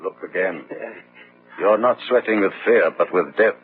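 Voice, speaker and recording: An actor playing the Red Death, a pitiless, solemn judge who pronounces doom in slow, commanding phrases in a gothic radio drama. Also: male voice, sits low, soft background music, lightly acted. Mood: condemning. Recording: muffled, noisy old radio drama recording.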